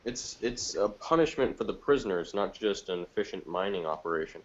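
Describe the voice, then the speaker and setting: A man's voice: sits low, animated, brisk, highs cut off. A man giving his view in a casual podcast review discussion.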